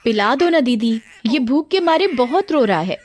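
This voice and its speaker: A woman voicing a gentle, caring young aunt in a story, pleading and respectful. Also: sits high, lively intonation, normal speed, a little hiss or hum. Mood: pleading, concerned.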